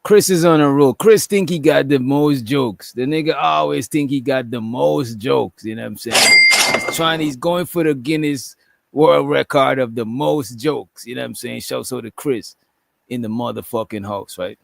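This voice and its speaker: A man's voice: mid-range voice, normal speed, vocal fry, background noise. A man hosting a casual reaction commentary, swearing freely, laughing often and repeating "you know what I'm saying". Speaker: commentary show host.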